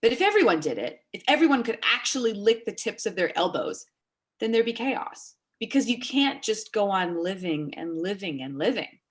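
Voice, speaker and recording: Earnest, quirky female dreamer acted by a woman, highly animated pitch, theatrical monologue delivery. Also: moderate pace, lightly acted. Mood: earnest, whimsical. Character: whimsical woman earnestly explaining odd theories.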